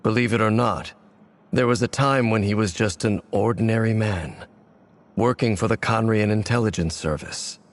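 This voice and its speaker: Guy doing a super deep grim swordsman, slow measured game voice. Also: lightly acted. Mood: resolute. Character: grave, weary male swordsman haunted by old betrayal. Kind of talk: video game dialogue.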